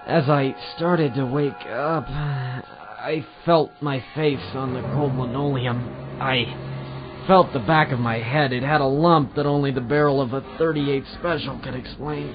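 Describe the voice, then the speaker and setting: A man playing a wry, deadpan private eye narrating his case in 1940s radio noir style. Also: medium pitch, unhurried, lightly acted. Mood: groggy narration. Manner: sardonic, deadpan. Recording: dull lo-fi audio with loud music.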